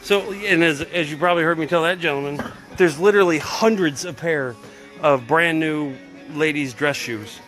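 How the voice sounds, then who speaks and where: Brief: middle-aged guy, bright pushy tone, rambling and excitable, phone held at arm's length outdoors, faint music behind. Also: a little high, normal speed, rough recording. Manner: rambling, excitable, swears casually. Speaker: vlogger. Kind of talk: casual live-stream chat. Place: outdoors at a storage unit.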